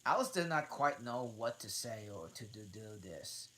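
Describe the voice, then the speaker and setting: A woman's voice: rumbling low, big pitch swings, normal speed, a bit bright. A woman reading a storybook aloud, stumbling and restarting words. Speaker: channel host, reader.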